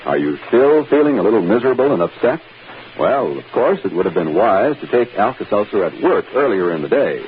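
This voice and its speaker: A man's voice: fairly low pitch, sing-song, a little fast, phone-quality sound. A man reading a persuasive, polished 1950s radio commercial as announcer. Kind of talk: 1950s radio advertising read. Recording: thin, hissy old radio recording.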